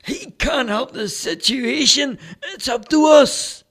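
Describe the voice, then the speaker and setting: A man voicing Dale, a homeless street artist who talks earnestly and dreamily, read from a play script with a gravelly edge. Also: high-pitched, sing-song, slow pace, pitched above the performer's own voice, full character voice. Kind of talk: amateur play read aloud.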